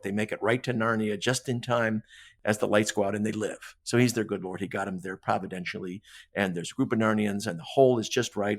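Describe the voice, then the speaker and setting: Low-voiced man, emphatic, discussing books. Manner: emphatic, punctuates points with "right?". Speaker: guest author on a podcast. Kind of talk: animated literary discussion in conversation.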